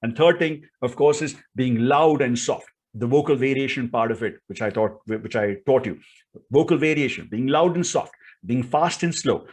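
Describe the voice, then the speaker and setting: A man's voice: medium pitch, moderate pace, husky. A man with an Indian accent giving an instructional talk as a speaking coach, emphatic and repetitive, often checking in with the listener. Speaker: voice and public speaking coach, presenter.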